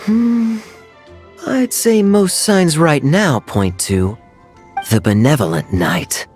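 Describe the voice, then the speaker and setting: An actor playing a suave, teasing adult male knight in a game, smooth and given to chuckling. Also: a little high, expressive, slow pace, darkish tone, faint music behind. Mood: wry. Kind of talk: game cutscene voice acting.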